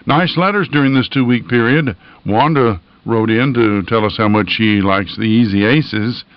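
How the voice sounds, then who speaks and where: A man's voice: medium pitch, moderate pace, no room sound. A folksy, earnest man hosting a radio station, reading informal announcements and listener notes in a plain-spoken way. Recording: dull, band-limited lo-fi audio.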